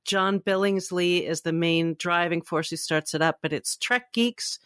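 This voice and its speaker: A woman's voice: fairly low pitch, fairly even intonation, a little slow, fairly bright tone, clean tone, dry recording, clean studio sound. A woman co-hosting a fan podcast, chatting informally and with enthusiasm. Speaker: podcast co-host. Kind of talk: casual fan podcast chat.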